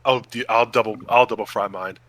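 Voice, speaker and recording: A man's voice: fairly low pitch, fairly even intonation, a little fast, a bit bright, no echo. A man chatting casually and enthusiastically as a podcast co-host. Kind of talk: casual chat about food.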